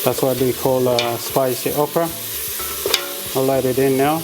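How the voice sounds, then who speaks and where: A man from South Sudan with a South Sudanese accent, demonstrating a recipe in his kitchen and explaining each step in an unhurried way, with many hesitant pauses. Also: mid-range voice, little pitch movement, noisy background. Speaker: home cook. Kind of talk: cooking demonstration.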